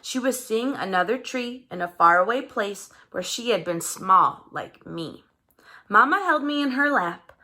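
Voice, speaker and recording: A woman reading a children's story aloud in an expressive, dramatized way. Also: on the high side, unhurried. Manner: animated, dramatized delivery with character voices. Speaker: storybook reader.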